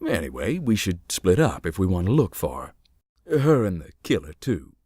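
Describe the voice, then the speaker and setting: An actor playing a dry, sardonic man who believes in ghosts, speaking deadpan in game dialogue. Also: sits low, moderate pace, husky, no room sound, exaggerated voice.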